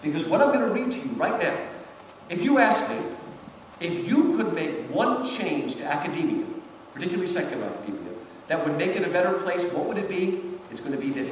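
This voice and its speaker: A man lectures on a book with a high, very animated voice. He stresses and repeats points and keeps checking in with listeners. The sound is distant, in a big reverberant hall with some noise. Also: a little fast. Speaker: lecturer giving commentary on a book. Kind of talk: animated public lecture on literature. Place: large hall, public event.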